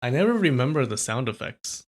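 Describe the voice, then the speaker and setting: A man's voice: mid-range voice, sing-song, a little fast. A young man from California reading a novel aloud on a livestream, chatting casually with viewers between passages.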